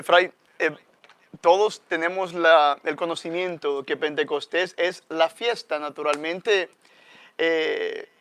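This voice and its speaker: A man's voice: a little high, fairly bright tone, clear, resonant tone, no echo. A middle-aged man with a Salvadoran accent, a guest friar speaking in an earnest, explanatory way with many fillers. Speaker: guest friar, parish priest. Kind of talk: conversational religious talk.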